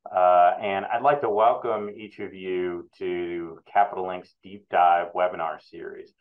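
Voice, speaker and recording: A man with a very low voice hosts a webinar interview, pausing often and filling gaps with ums and uhs. The sound is thin and phone-like, as if from a video call. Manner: halting, many fillers, relaxed. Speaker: interviewer, research analyst. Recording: tinny phone-like line.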